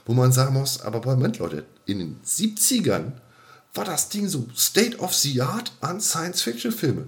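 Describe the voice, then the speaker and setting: A man's voice: medium pitch, big pitch swings, normal speed. A man co-hosting a podcast, chatting off the cuff in colloquial, slangy speech and often repeating his words. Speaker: podcast co-host. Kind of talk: casual unscripted podcast chat.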